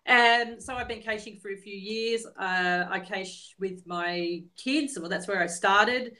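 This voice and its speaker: A woman guest on a podcast, relaxed and explaining in casual interview chat. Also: mid-range voice, measured pace.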